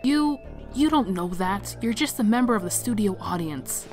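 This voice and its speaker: A woman playing an earnest, anxious young female lab assistant in an animated drama, hesitant and troubled. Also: medium pitch, normal speed, over music, natural voice. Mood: worried.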